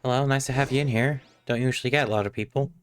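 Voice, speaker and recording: Casual male streamer, medium pitch. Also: fairly even intonation, racing, no room sound. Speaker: game streamer. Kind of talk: casual live gameplay commentary.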